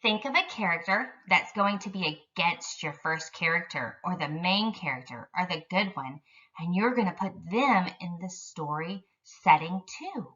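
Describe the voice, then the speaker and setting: A woman's voice: lowish voice, animated, normal speed, a bit bright, recorded from a distance, lo-fi sound. A woman elementary school teacher giving an instructional lesson to young children in careful, simple phrasing.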